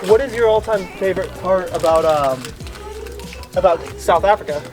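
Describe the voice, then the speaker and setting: Young American man, enthusiastic outdoor interviewer, a bit high-pitched, background music. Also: normal speed. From United States. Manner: eager, rambling, enthusiastic. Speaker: travel vlogger interviewing hikers. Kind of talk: casual interview. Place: outdoors on a mountain trail.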